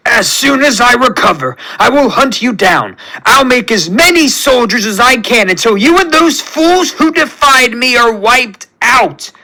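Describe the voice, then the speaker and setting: A man voicing a megalomaniac villain scientist who sees himself as a god, with grandiose, drawn-out commands, in a superhero story. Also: sits high, very animated, bright, forceful tone, exaggerated voice, low-quality recording. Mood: vengeful.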